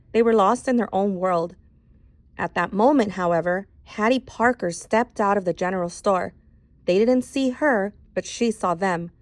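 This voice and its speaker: Female read-aloud narrator, teacher type, mid-range pitch, expressive storytelling delivery. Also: no room sound. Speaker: teacher reading a novel aloud.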